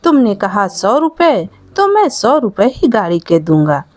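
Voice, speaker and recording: A woman's voice: medium pitch, very animated, measured pace, a little mellow, dry recording. A woman storyteller voicing a cunning, bullying male merchant who scolds and lectures in a moral tale. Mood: agreeable. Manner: scolding, lecturing, self-righteous.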